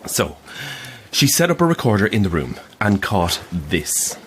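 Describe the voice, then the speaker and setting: An actor in an audio drama playing an earnest, insistent believer in the afterlife, speaking formally and solemnly. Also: male voice, deep voice, very animated, measured pace, fairly bright tone, slight background noise, exaggerated voice. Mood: solemn storytelling. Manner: formal, apologetic, storyteller's solemn phrasing. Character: earnest, insistent male afterlife-society believer telling ghostly stories.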